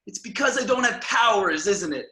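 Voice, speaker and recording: A man playing an eager, pushy, whiny boy superhero fan, excitable and pleading, reading from a script in an echoey room. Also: high-pitched, moderate pace. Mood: indignant, insistent. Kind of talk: online table read of a film script.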